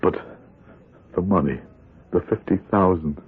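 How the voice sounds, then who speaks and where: Low, husky, broken old ex-convict. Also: male voice, measured pace, heavily acted. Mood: bitter, despairing. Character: weary gray-haired ex-convict, broken and pleading. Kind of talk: 1970s radio drama acting. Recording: tinny, band-limited old radio broadcast.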